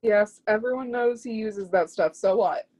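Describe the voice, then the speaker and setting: A woman's voice: medium pitch, moderate pace, a little mellow, natural voice. A woman playing a proud, zealous would-be artist's muse in a play reading, speaking with lofty, scornful emphasis. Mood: haughty.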